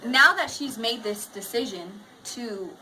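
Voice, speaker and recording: A woman's voice: a little high, a little slow, bright, forceful tone, rough recording. A woman teaching a class, reading aloud expressively and putting questions to her pupils. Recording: faint background noise in a classroom.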